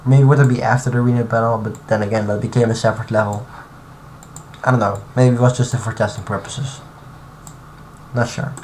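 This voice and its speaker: A man's voice: fairly low pitch, darkish tone. Man thinking aloud over typing noise. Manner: thinking aloud, halting, many fillers and restarts. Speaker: streamer. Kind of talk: casual live-stream commentary while modding. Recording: clear background noise and typing.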